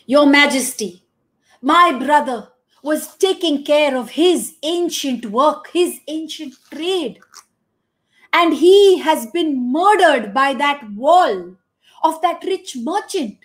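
Woman voicing indignant brother, demanding, high-pitched. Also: animated, slow. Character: aggrieved male thief's brother.

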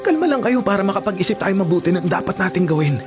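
A man's voice: high-pitched, quick pace, over music. A young man playing a cautious, bossy leader of frightened companions in a radio drama, giving instructions. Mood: urgent. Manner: urgent, instructing, animated. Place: radio studio booth. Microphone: studio condenser mic with pop filter.

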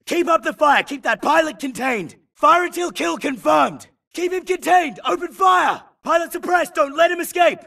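A shouting, gravelly infantry soldier. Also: male voice, extremely high pitch, animated, normal speed, hardly any pauses, recorded from a distance, heavily acted. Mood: urgent, focused. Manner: clipped, shouted military callouts. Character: tough male infantry soldier, urgent and gruff in combat. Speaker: game voice actor. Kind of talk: military battle barks.

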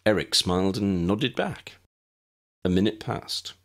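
Low-pitched man narrating, slightly creaky. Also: a little slow. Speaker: author and audiobook narrator. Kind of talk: scripted story narration.

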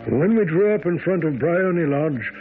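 An actor playing a genial Victorian gentleman companion in a radio drama, with expressive, old-fashioned storytelling diction. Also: fairly high pitch, moderate pace, faint music behind, natural voice. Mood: narrating. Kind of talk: radio drama narration and dialogue. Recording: tinny, narrow-band vintage radio broadcast.

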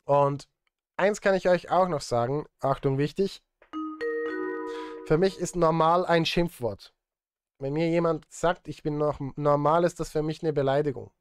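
A man's voice: a little high, a little slow, clear, resonant tone, no room sound, clean studio sound, faint music behind. A man, chatting casually on a live stream as he works, with self-deprecating, joking asides. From Switzerland. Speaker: streamer and film composer. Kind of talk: casual live-stream chat while composing. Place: indoor streaming setup.

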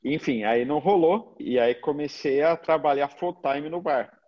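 A man's voice: a little high, normal speed. A man in his thirties with a São Paulo Brazilian accent, telling stories informally as an interview guest, with slangy phrasing and frequent tag questions. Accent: São Paulo Brazilian Portuguese. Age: 30s. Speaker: interview guest, agency founder. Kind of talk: casual anecdotal chat. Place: indoor room at home, video call. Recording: slightly dull video-call audio.

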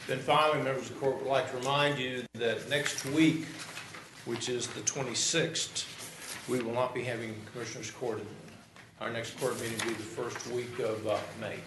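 A man's voice: mid-range voice, moderate pace. A man reading out meeting announcements to a county court in a formal, orderly manner. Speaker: county administrator. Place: large county courtroom, indoors. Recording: echoey room with steady noise.

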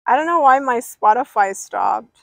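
A woman's voice: fairly high pitch, lively intonation, normal speed, gravelly, a bit bright, dry recording. A young woman livestreaming outdoors by a pool, rambling casually and reading out viewers' names. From Persian.

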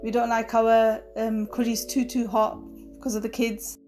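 A woman giving a relaxed, chatty voice-over of her home cooking, with fillers now and then. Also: a little high, moderate pace, soft background music. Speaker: cooking vlogger. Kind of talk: casual voice-over narration.

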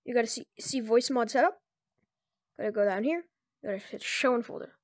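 A speaker giving informal, improvised step-by-step instructions in a casual screen-recorded software tutorial. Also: mid-range voice, a little fast, no echo, clean studio sound. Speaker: tutorial presenter.

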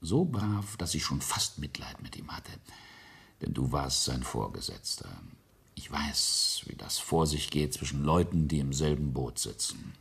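Deep, gravelly, menacing patriarch. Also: male voice, sing-song, fairly bright tone, heavily acted. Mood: cold menace. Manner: sardonic, deliberate, with whispered drops. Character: menacing, mocking family patriarch and ambitious industrialist. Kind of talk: German radio play acting.

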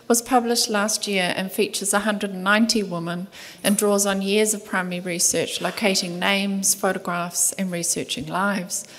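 A middle-aged woman with a New Zealand accent reading a conference paper in a soft, measured voice, from a table mic in a large lecture hall. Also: mid-range voice. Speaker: conference speaker, museum curator. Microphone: table microphone at panel desk.

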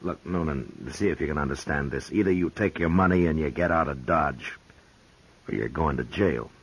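An actor playing a steady, commanding frontier marshal in a 1950s radio drama. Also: male voice, rumbling low, moderate pace, slightly rough, exaggerated voice. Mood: firm. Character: calm, firm frontier marshal, grown man.

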